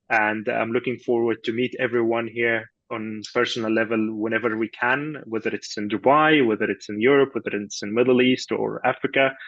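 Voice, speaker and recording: A man's voice: mid-range voice, no echo, lo-fi sound. A man from Egypt giving a thank-you speech on an online business call, hesitant and full of fillers and repeated words. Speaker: guest speaker. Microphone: video call.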